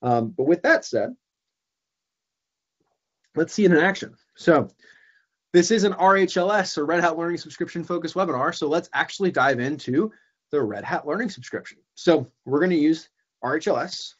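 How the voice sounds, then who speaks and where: Casual young man demoing software. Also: a little high, lively intonation, moderate pace, dull audio. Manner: casual, filler-heavy explaining. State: losing his voice. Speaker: webinar presenter. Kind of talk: screen-share software demo. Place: small room indoors. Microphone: video call webcam.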